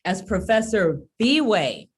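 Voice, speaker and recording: Woman, interview host, friendly, conversational, medium pitch. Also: very animated, slow pace, breathy edge.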